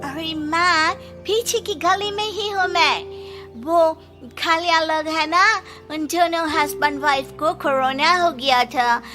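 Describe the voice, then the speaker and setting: A woman's voice: very high voice, fairly even intonation, slow pace, music in the background. A woman playing an earnest, caring young daughter-in-law in a moral-story cartoon, with a pleading manner.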